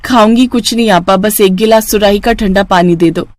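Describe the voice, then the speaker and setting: A woman's voice: mid-range voice, normal speed, a little hiss or hum, lightly acted. A woman voicing a meek, patient poor younger sister, deferential and obedient, in a storybook tale. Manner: deferential, patient, gentle wording.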